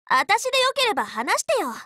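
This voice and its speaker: Anime game role: teenage magical girl, loyal tomboy leader, bright forceful tone, very lively intonation, dry close studio sound, frank and casual. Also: very high voice, fast, dry recording, exaggerated voice. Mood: concerned. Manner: casual, boyish, spirited, frank speech. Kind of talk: anime game character voice lines.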